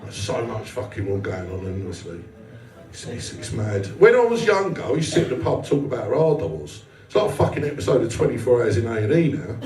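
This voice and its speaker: A man's voice: medium pitch, very animated, fairly quick, a little mellow. A man doing live stand-up comedy, blunt and sweary, chatting with the audience in a self-deprecating way. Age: 40s. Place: small indoor comedy venue with a live audience. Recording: roomy live club, distant mic, audience noise.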